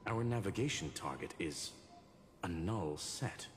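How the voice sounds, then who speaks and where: A man playing a calm, analytical machine-like intelligence in a video game, formal and precise in speech. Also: deep voice, slight background noise. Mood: clinical, calm. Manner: formal, measured, clinical. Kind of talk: acted video game dialogue. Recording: long reverb, music behind the voice.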